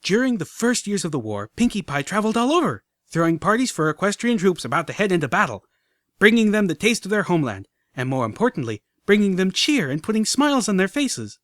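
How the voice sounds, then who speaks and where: A man voicing an excitable young pink filly who squeals, giggles and bounces as she talks. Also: sits high, moderate pace, dry recording, studio quality, pitched above the performer's own voice. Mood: enthusiastic. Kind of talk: audiobook character reading.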